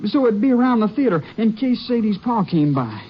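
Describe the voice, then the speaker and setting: An actor in a 1950s radio drama playing a frightened teenage farm boy with an anxious, breathy voice. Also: sits high, sing-song, a little fast, slight background noise. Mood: worried. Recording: dull lo-fi old radio recording.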